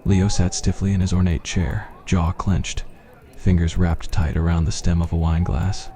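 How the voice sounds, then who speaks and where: A man's voice: low voice, flat delivery, normal speed, dark tone. A man reading a scripted fantasy roleplay as ASMR story narration.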